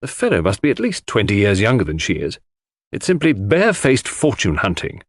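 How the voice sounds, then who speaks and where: A man voicing an exasperated, outspoken English country gentleman with slangy upper-class talk in an audiobook. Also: lowish voice, huge pitch swings, brisk, no echo, studio quality. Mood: indignant. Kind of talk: audiobook character dialogue.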